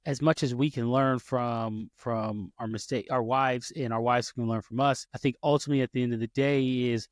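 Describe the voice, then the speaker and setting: A man's voice: medium pitch, fairly even intonation, moderate pace, few pauses, dry recording. Storytelling male podcast host, dry sound. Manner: storytelling, frequent restarts and self-corrections. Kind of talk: casual podcast conversation.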